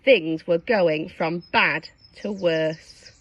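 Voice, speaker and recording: A woman reading a storybook aloud to young children, dramatic and expressive, engaging her listeners with questions. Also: fairly low pitch, extremely slow, lo-fi sound. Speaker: storybook reader.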